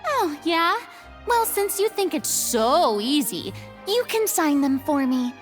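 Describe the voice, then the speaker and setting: A woman voicing a proud but flustered young former stage star in a video game, theatrical and quick to get embarrassed. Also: sits high, sing-song, faint music behind, exaggerated voice. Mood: lively. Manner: theatrical, flustered, slips into whispers when musing.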